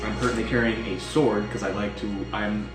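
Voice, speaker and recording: A man playing a tabletop game, casually improvising a character description in a halting, rambling way. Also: on the low side, little pitch movement. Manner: halting, rambling, filler-heavy. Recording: echoey room, music behind.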